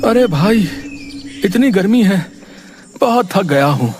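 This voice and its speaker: Weary thirsty shepherd. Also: male voice, high voice, expressive, slow, a little mellow. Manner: muttering to himself, weary. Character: tired thirsty young shepherd, naive. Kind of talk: cartoon storybook voice acting. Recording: background music.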